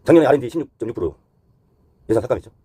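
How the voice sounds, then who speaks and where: A man delivers current-affairs commentary at a quick pace, earnest and critical as he poses rhetorical questions. His voice is dark and somewhat raspy, and the recording is close and dry. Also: medium pitch, expressive, no room sound. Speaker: host and commentator. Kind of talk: current-affairs opinion monologue.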